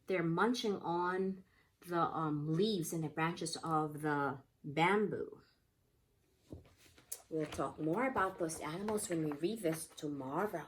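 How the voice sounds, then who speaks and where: A woman teaches young children from a picture book. Her voice sits a little low and she takes her time, with a very slow pace. She keeps explanations simple and often puts questions to the children. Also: slight background noise, low-quality recording. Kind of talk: interactive picture-book read-aloud lesson for young children.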